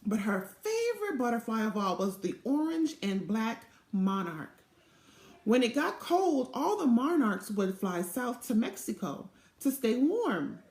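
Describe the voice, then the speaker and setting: Slow, expressive woman reading a storybook. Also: fairly high pitch, roomy sound. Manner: expressive, deliberate. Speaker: storybook reader. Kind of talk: children's read-aloud narration. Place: small indoor office.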